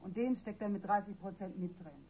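A woman's voice: medium pitch, brisk, mellow, a little hiss or hum, lightly acted. A woman playing a streetwise, defiant suspect in a radio crime drama, curt and dismissive. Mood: defiant, guarded. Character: defiant, streetwise woman under police questioning.

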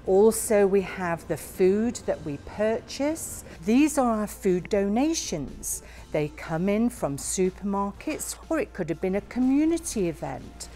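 A woman guiding a warehouse tour, explaining each area in a slow, plain way. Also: mid-range voice, big pitch swings, warm tone, soft background music. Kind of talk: guided tour narration.

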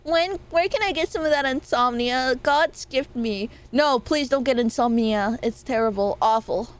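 Young woman streamer, kinda nasal, higher pitched, rambly and jokey, swears a bit. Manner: rambling, playful, quick laughs and swearing. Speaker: VTuber streamer. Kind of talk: casual chat with stream viewers.

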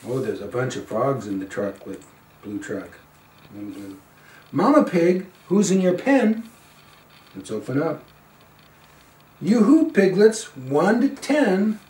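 An older man, around seventy, reading a children's picture book aloud in an expressive, singsong and playful way. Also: fairly high pitch. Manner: slow, singsong, playful calls with quieter asides. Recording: roomy, a little far from the mic.

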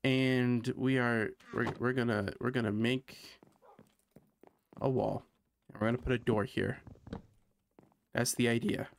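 A man from Canada streams a sandbox game and talks through every step as he goes. His voice sits at an ordinary mid pitch, and he thinks aloud in a relaxed, self-mocking way. A faint hiss runs under the home recording. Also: measured pace, vocal fry, pauses often. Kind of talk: casual gameplay commentary.

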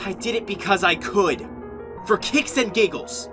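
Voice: mid-range voice, music in the background, lightly acted. A performer voicing a young male goat monster, earnest and haunted by guilt, in an amateur comic dub. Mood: ashamed, confessing. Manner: earnest, emotionally volatile, halting when ashamed.

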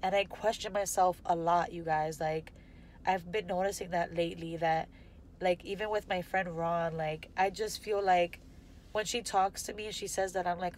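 A young woman with a congested, nasal voice talks through her thoughts in an unscripted vlog, rambling and full of fillers. Her voice sits a little low for a woman. She is filmed on a phone at arm's length inside a car, with faint ambient noise behind her. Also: darkish tone. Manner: rambling, candid, lots of "like". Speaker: vlogger. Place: parked car, indoors. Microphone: phone camera at arm's length.

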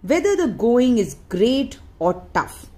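A woman reading a newspaper article aloud in deliberate phrases with frequent pauses. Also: fairly high pitch, big pitch swings, dark tone. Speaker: audiobook reader.

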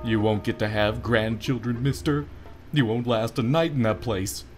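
A man's voice: medium pitch, steady tone, normal speed, music in the background, voice pushed down, close to an everyday voice. A man doing an earnest, gloomy town guard. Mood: ominous. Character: earnest, gloomy, polite young male town guard private.

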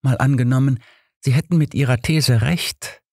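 Man voicing an inquisitive woman, pitched up. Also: normal speed, creaky, a little mellow, slightly rough, no room sound, studio quality. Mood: curious. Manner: direct, questioning. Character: spirited, inquisitive woman companion of a detective. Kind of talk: audiobook character reading.

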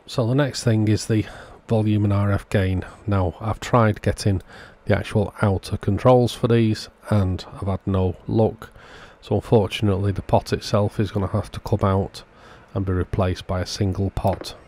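A man hosts an informal repair narration in a fairly deep voice. His tone is dark and relaxed, with no sharp edge. He explains each step in a plain, matter-of-fact way. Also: moderate pace, hardly any pauses. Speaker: host of a radio repair channel. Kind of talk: informal scripted-sounding voice-over narration of a repair.

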